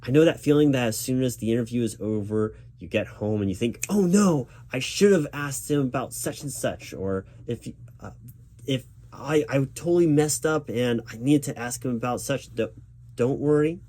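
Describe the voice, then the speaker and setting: A man's voice: medium pitch, expressive, normal speed, dark tone. A man playing a recruiting manager in an ASMR interview roleplay, speaking aloud in a reassuring, patient and encouraging way. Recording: background noise with keyboard typing.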